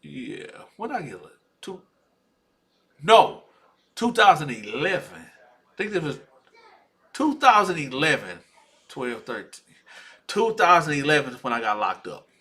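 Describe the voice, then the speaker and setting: A man vlogging a personal story to his viewers, slangy and animated, acting out the dialogue as he goes. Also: on the high side, measured pace, long pauses.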